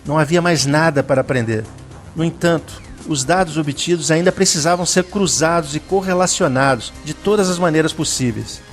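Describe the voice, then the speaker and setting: Narrador brasileiro solene, voz um pouco aguda. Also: male voice, fairly high pitch, fairly quick, faint music behind. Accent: Brazilian. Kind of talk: scripted dramatic story narration.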